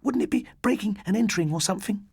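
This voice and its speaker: A man's voice: high-pitched, fast talker, gravelly, breathy edge, no room sound, studio quality, pitched above the performer's own voice, full character voice. A man voicing a timid, curious schoolgirl, breathless and wide-eyed, in an audiobook story. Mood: nervous.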